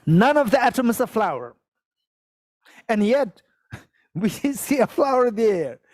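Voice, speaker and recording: A man's voice: very high-pitched, sing-song, takes it slowly, darkish tone, no echo, clean studio sound. A man, giving a Buddhist teaching to a live audience, repeating phrases, asking for shows of hands and chuckling as he explains. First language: Tibetan. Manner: repetitive, questions the audience, chuckles while explaining.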